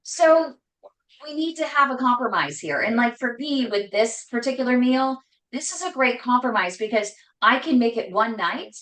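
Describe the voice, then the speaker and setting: A woman hosting a casual live cooking show from her home kitchen, talking loudly and emphatically with a voice that carries. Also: fairly high pitch, lively intonation, moderate pace, reverberant, low-quality recording. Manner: loud voice that carries, chatty and emphatic. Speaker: host cooking on a live stream.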